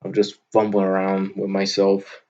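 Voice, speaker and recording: A young man from Vermont with a loud, booming voice, talking off the cuff in an unscripted audio log, rambling with lots of filler words. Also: completely flat delivery, normal speed, highs cut off. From Vermont, USA. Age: young adult. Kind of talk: unscripted casual monologue. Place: at his desk, indoors. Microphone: computer microphone on a desk.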